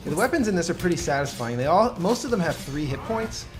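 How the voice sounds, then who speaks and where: A man's voice: on the high side, very animated, fast. A man giving casual, analytical commentary on a game as he plays, explaining things as he goes. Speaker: gaming commentator. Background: game music.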